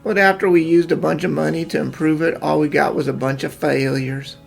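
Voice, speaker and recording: Guy doing a gravelly sad sack farmer for a cartoon dub, timid and folksy, totally resigned, bit of music behind. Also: fairly high pitch, little pitch movement, a little fast. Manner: folksy rural phrasing, resigned. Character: meek, defeated young male farmer, self-declared coward. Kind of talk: fan-dub cartoon acting.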